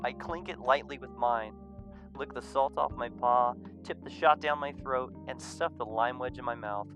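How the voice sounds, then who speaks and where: A man reading a visual novel aloud as expressive scripted narration. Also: mid-range voice, normal speed, music in the background.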